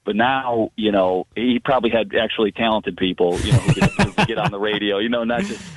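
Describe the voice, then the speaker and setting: A man's voice: fairly low pitch, expressive, fairly quick, over a noisy crowd. A man phoning in to a radio show, casually reminiscing in a rambling way with lots of "you know" asides. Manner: rambling, frequent 'you know' asides. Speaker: phone-in guest sharing memories. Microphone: telephone. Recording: muffled phone line on radio.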